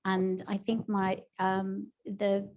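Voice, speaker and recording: A woman from Switzerland speaking on a panel, eager and quick to agree. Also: mid-range voice, little pitch movement, a little mellow, muffled recording. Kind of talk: panel discussion.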